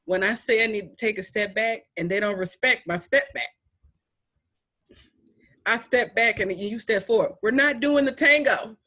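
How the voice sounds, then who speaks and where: A young woman with a deep voice hosting a podcast, chatting in an animated, emphatic way with playful repetition. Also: normal speed. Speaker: podcast host. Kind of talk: casual chat.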